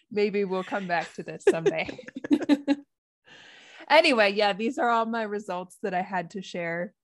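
A woman's voice: medium pitch, expressive, a little slow, long pauses. A woman with an American accent co-hosting a casual podcast, thinking aloud and laughing often. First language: English. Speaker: podcast co-host.

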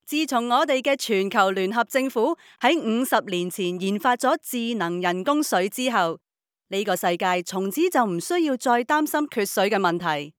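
A woman plays a Hong Kong Cantonese schoolteacher in an audio drama, orderly and dutiful. She addresses her pupils in a didactic classroom manner at a medium pitch, recorded close and dry. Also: no echo, lightly acted. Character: female schoolteacher, orderly, upbeat, dutifully praising government. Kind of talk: radio drama acting.

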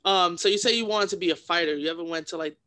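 A man's voice: high voice, fast, sharp tone. A young man hosting a casual interview, informal and agreeable, often affirming what his guest says. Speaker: podcast host, interviewer.

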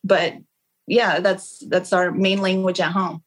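A woman's voice: lowish voice, level tone, slow pace. A woman whose first language is Khmer, a guest in a casual interview, talking frankly and animatedly, often restarting her sentences. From Long Beach, California. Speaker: interview guest, real estate agent. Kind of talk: casual candid conversation.

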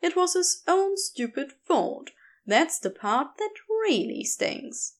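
A woman reading a story aloud as an expressive narrator of scripted fiction. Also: very high voice, takes it slowly. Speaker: podfic reader.

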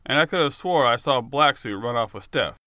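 Crisp, bright male audiobook narrator. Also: medium pitch, lively intonation, a little fast, no room sound, studio quality. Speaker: author narrating own audiobook. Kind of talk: first-person scripted narration.

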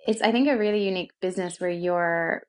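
Canadian woman, slightly low-pitched, telling her story. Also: fast. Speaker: interview guest, co-working space founder. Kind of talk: conversational personal storytelling in an interview.

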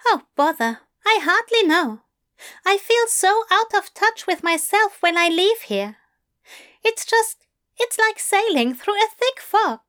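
Fussy, earnest gentleman angel, put on by a woman, high and animated. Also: takes it slowly, heavily acted. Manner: bright, fluttery, earnest.

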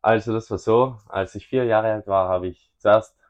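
Very deep-voiced young man, muffled sound. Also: fairly even intonation. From South Tyrol, Italy. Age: young adult. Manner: halting, many fillers and restarts. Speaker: guest, racing driver. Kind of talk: casual interview answers.

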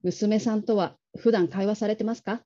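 A considerate female manager played by an actress with an extremely soft, dark tone. She speaks in a gentle, measured, courteous way, as in a radio drama. The sound is slightly muffled. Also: medium pitch, steady tone, close to an everyday voice. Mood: confiding, considerate. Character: polite, caring, discreet female call-centre manager.

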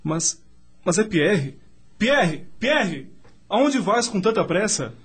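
An actor playing an earnest, courteous young gentleman student in love, speaking formally in a radio drama. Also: high voice, big pitch swings, takes it slowly, husky, heavily acted. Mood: wistful, friendly. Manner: formal, polite.

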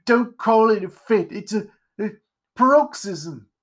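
Narrator playing a trembling pumpkin creature, raised pitch, loud moaning, whiny, self-pitying, storybook melodrama. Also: animated, unhurried, lightly acted. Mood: pleading, nervous. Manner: wailing, moaning, melodramatic outbursts.

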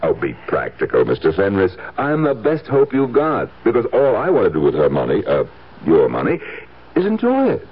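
Radio play casting: male, sardonic idle fortune hunter, husky low voice, heard through phone-thin vintage broadcast sound. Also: huge pitch swings, normal speed, exaggerated voice. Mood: smug, taunting. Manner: sardonic, hesitating with dry asides. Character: cynical fortune-hunting husband, smooth-talking, lazy and aggrieved. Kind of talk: 1970s radio drama acting. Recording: tinny, hissy 1970s radio broadcast.

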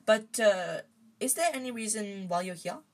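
A woman reads as an awkward young boy wizard from a storybook. The voice is slightly husky, hesitant and polite, kindly but nervous. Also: medium pitch, moderate pace, pitched above the performer's own voice, natural voice. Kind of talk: storybook character voice.

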